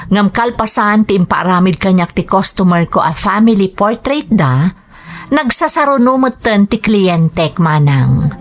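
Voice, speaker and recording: A woman voices a hopeful young painter narrating a life story for a radio drama. The delivery is animated and mid-pitched, with an Ilocano Filipino accent. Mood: grateful.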